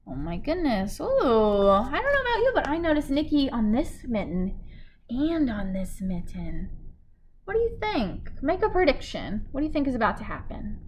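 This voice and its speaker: A woman's voice: sits high, very animated, moderate pace, a little hiss or hum. A young adult woman reading a children's picture book aloud like a teacher, expressively, with asides and questions to her young listeners. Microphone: device in front at about arm's length, speaking through a face mask.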